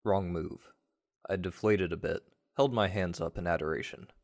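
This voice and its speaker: Deep-voiced man reading a story. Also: normal speed, darkish tone. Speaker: narrator of an audio story. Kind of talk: first-person dramatic story reading, like a radio play.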